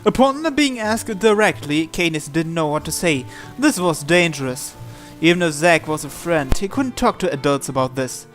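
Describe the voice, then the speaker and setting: やや高めの声で朗読する男性. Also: male voice, a little high, expressive, moderate pace, a bit bright. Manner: stumbles and repeats words while reading. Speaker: gamer translating a visual novel aloud. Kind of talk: live read-aloud narration in English. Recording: faint music behind the voice.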